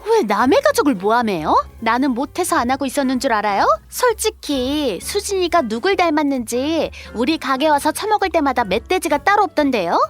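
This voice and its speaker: Woman acting as brazen sister-in-law, very high voice, animated pitch, indignant, whiny, audio drama. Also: brisk, few pauses, faint music behind. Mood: outraged. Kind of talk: scripted audio drama dialogue.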